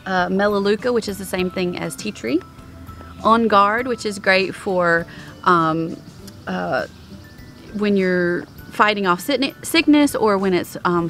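A woman's voice: mid-range voice, fairly bright tone. Chatty woman vlogging outdoors on a lawn. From American. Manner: chatty, many ums, upbeat about products. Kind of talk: casual product show-and-tell. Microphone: handheld camera at arm's length.

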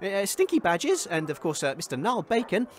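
An actor playing an exasperated radio presenter in a comedy radio play, with a halting, awkward delivery. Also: male voice, high-pitched, huge pitch swings, a little hiss or hum. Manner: halting, awkward, put-upon.

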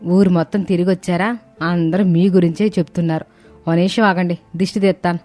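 A woman playing a doting, bustling older village mother-in-law with rustic colloquial speech in an animated story. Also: on the low side, a little fast, natural voice. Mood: fond, fussing. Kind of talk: animated moral-story voice acting. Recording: music bed under the voice.

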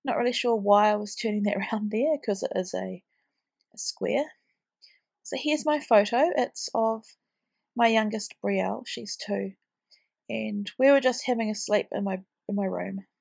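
A woman's voice: medium pitch, measured pace, gravelly, darkish tone. A woman in her thirties, heavily pregnant and tired, chatting casually with a blocked, snuffly nose while narrating her scrapbooking. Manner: chatty, rambling with ums. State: stuffy nose from a cold. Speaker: scrapbooking vlogger. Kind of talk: casual craft voice-over.

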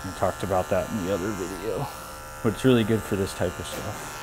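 American man, lowish voice, easygoing barber tutorial, casual explanations, clear clipper buzz and hum behind. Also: fairly even intonation, brisk. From United States. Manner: easygoing, explanatory, thinking aloud. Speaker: barber giving a haircut tutorial. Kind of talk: casual instructional talk while working. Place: indoor brick-walled barber shop. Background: electric clippers buzzing, hum.

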